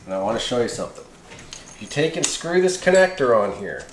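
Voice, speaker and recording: A man's voice: mid-range voice, very animated, brisk, slight background noise. A man hosting a hands-on how-to video in casual, folksy, rambling talk. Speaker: host of a hobby how-to video. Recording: echoey room.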